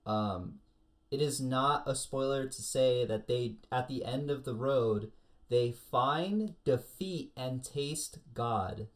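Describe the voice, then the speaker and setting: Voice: mid-range voice, slow. A co-host of an anime review show chatting casually in a jokey, slangy way.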